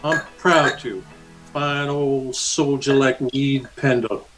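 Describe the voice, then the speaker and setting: A man's voice: mid-range voice, steady tone, music in the background, close to an everyday voice, rough recording. An American man playing a menacing, mocking cowboy bully in an old-time radio Western. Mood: sly, probing. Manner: mocking, slow-drawling taunts. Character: menacing cowboy bully, sneering ex-Confederate guerrilla.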